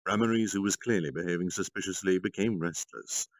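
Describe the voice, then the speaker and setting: A man reading a story aloud on a livestream, with expressive narration and dramatic, measured phrasing. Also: low voice, dry recording. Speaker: storytime streamer, reader.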